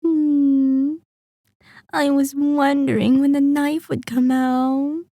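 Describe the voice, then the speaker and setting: A woman playing a possessive, sadistic female vampire in an ASMR roleplay, seductive, menacing and condescending, teasing and savoring each phrase with pauses. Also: sits high, little pitch movement, warm tone, no echo, clean studio sound. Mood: amused, taunting. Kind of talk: scripted ASMR roleplay monologue.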